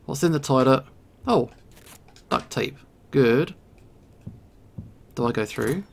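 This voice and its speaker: Guy playing a video game and chatting thru it, gravelly fry, thinks out loud in short bits. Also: mid-range voice, lively intonation. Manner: thinks aloud in short, halting remarks. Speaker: video game player and host. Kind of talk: casual let's play commentary.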